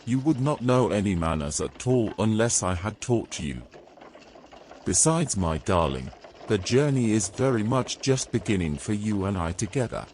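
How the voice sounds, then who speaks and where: Male actor as haughty, controlling fantasy knight: lowish voice, very animated delivery. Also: normal speed, slight background noise. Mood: smug, condescending. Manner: pompous, formal old-fashioned phrasing. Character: domineering, pompous male knight, scornful and controlling. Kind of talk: fantasy audio drama acting.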